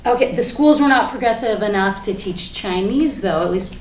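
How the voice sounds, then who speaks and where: A woman playing a defiant, foul-mouthed suspect under questioning in a staged monologue, rambling in a chatty, sarcastic, halting way. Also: mid-range voice, animated, distant mic, natural voice. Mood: agitated. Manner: chatty, sarcastic, halting, breaks into nervous laughs. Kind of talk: dramatic monologue in a staged reading. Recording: echoey room with faint background noise.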